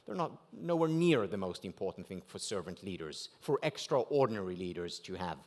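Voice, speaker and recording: A man's voice: deep voice, very animated, normal speed, a little mellow. A man with an Israeli accent, a native Hebrew speaker, giving a motivational keynote talk at a gala dinner, asking rhetorical questions and punching key words. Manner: rhetorical questions with strong rises and drops for emphasis. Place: banquet hall at a gala dinner.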